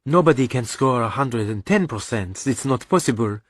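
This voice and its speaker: Man voicing a calm, firm mother, pitched up. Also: moderate pace, no echo, clean studio sound, lightly acted. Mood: matter-of-fact. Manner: patient, matter-of-fact. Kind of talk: audiobook character dialogue.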